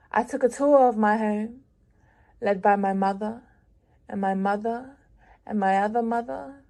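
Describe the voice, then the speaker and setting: A young woman reading her own lyrical prose aloud in a measured, reflective way with long pauses. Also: fairly high pitch, warm tone. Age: young adult. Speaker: prize-winning young writer.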